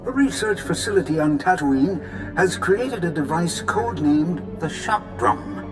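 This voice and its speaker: An actor playing an eager weapons scientist in a video game, explaining things in a precise, lecturing manner. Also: male voice, on the high side, lively intonation, unhurried, low-quality recording. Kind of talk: video game cutscene acting. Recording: loud music behind.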